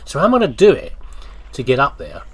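A man commentates casually on a video game, thinking aloud in a rambling way. His pitch swings up and down in an animated fashion. A faint layer of game ambience noise sits behind his voice. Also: fast talker. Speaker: gamer commentating on playthrough.

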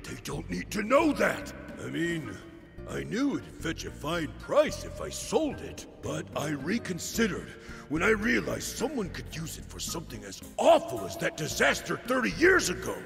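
A man voicing a brawny, boastful bandit leader in a video game, gravelly and theatrical. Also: high-pitched, huge pitch swings, normal speed, a bit bright, full character voice. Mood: blustering, defensive. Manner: theatrical, blustering. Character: brawny boastful male bandit leader in swim gear, blustering. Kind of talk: animated video game cutscene acting. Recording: echoey hall reverb over music.

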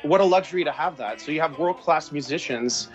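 A man's voice: on the high side, a little fast, soft background music. A man in his forties with a Canadian accent, a film director speaking as a guest over a video call from a room at home, talking reflectively with many restarts. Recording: dull lo-fi video call audio.

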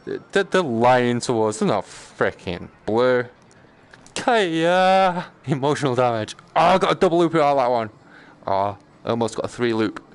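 Animated, slangy man streaming a game. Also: mid-range voice, normal speed. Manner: slangy, sweary, joking. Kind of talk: casual live-stream gameplay chat. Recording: clear background noise.

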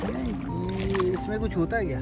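High-voiced excited man filming on phone. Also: measured pace, gravelly, loud music behind the voice. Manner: excited, chatty, nervous around crabs. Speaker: vlogger. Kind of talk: casual vlog commentary. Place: night shoreline, wading in shallow water.

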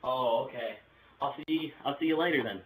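A man's voice: medium pitch, moderate pace. Roomy, distant-sounding young man. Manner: hesitant, rambling, crude. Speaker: video creator making prank calls. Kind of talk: casual prank phone call. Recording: roomy, distant mic with background noise.

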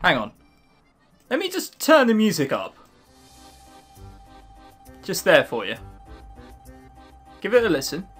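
A man's voice: high-pitched, slow pace, pauses often, soft background music. Sarcastic man reading a game aloud. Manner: sarcastic, mocking, animated. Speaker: let's play host. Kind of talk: reading a visual novel aloud with comments.

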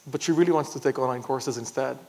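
A man in his thirties moderating an on-stage conference interview, piecing his questions together haltingly. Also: mid-range voice, a little mellow. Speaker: moderator, technology executive. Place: large conference stage, seated in armchair. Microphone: clip-on lapel mic.

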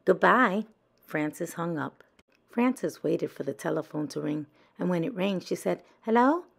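A woman storyteller with a lowish voice and some creak in it. She reads a children's picture book aloud for young listeners. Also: measured pace, a little mellow.

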